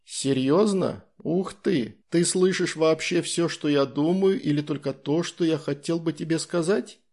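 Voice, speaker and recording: A man voicing a male talking cat in an audiobook, confident but a little frightened, curious and excitable. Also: a little high, a little slow. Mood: amazed.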